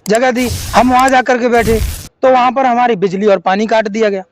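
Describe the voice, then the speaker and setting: A man's voice: extremely high pitch, fairly even intonation, normal speed. A man giving an earnest, explanatory spoken account in a news interview, often retelling what was said to him as a protest participant.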